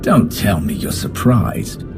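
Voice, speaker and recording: A bitter Imperial officer in a video game, formal and sardonic. The voice is fairly low and swings theatrically in pitch, proud and defiant. Music runs clearly behind it. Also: male voice, sing-song, slow pace, heavily acted. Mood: bitter disdain. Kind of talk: scripted video game acting.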